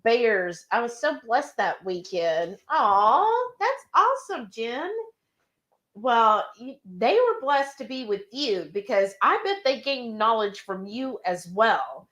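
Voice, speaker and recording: A woman's voice: on the high side, very animated, a bit bright. A woman hosting a live cooking stream, chatting casually and reading viewer comments aloud in an encouraging tone. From Texas. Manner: chatty and encouraging, with drawn-out asides.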